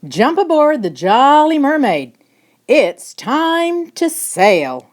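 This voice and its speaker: A woman voicing an eager young boy, a proud storybook tour guide who announces everything loudly and excitedly. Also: high voice, takes it slowly, pitched above the performer's own voice, full character voice. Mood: urgent, shouting. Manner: loud excited announcing and commanding.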